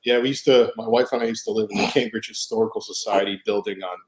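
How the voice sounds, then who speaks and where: A man's voice: fairly low pitch, steady tone, poor audio. A man hosting a casual video call chat, telling stories in an easygoing way. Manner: quick, easygoing storytelling. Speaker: host.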